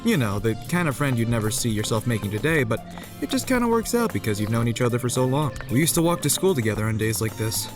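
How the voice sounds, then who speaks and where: A man plays a resigned, apathetic teenage boy telling his own story as visual novel narration. The mid-pitched voice moves along quickly with a wry edge, over clear background music. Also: very animated, natural voice. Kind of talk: scripted first-person visual novel narration.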